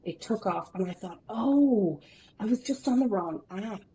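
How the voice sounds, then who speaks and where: A woman in her forties chats with an upbeat, lively manner. She tells stories with self-deprecating humour as a podcast guest, at a medium pitch. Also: a little slow, poor audio. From Ireland. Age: 40s. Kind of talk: casual interview storytelling.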